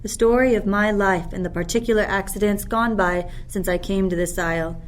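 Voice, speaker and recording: A woman playing a commanding exiled duchess and mother in a Shakespeare radio play, speaking formally and deliberately. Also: medium pitch, close to an everyday voice. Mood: gracious, solemn. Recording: noisy recording with ambient sound texture.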